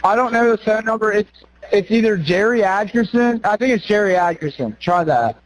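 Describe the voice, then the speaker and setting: Male caller, high-pitched, halting and unsure, recorded phone call for help. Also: animated. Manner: halting, hesitant. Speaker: emergency caller.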